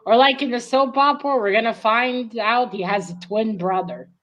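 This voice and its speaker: Halting, higher-voiced woman chatting as host. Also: a bit bright, rough recording. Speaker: host of a book read-along show. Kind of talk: casual chat.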